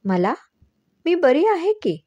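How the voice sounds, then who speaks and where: Woman doing a cheeky young daughter, lifted pitch. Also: very animated, takes it slowly, dark tone, no echo, lo-fi sound, exaggerated voice. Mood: playful.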